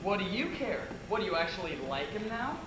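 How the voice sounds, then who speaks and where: A man's voice: sits high, sing-song, fairly quick. A young man on stage playing an impatient, jealous secret lover, speaking in a needling, sarcastic way. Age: young adult. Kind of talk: amateur stage drama acting. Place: theater stage, indoors. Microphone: distant audience camcorder. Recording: echoey, noisy distant camcorder audio.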